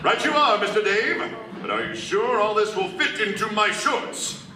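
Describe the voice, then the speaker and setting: A man's voice: sits high, lively intonation, moderate pace. A man playing an earnest, self-important superhero in a comic radio play, overdramatic and boyishly eager. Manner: overdramatic, hammy declaiming. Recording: echoey hall, far mic, faint noise.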